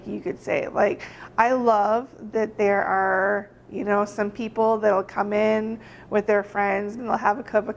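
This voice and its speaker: A woman from Germany talks chattily through an interview, full of fillers and small restarts. Her voice has a gravelly fry. The recording is dull with faint noise behind it. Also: mid-range voice. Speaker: cookie shop owner. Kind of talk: interview answers.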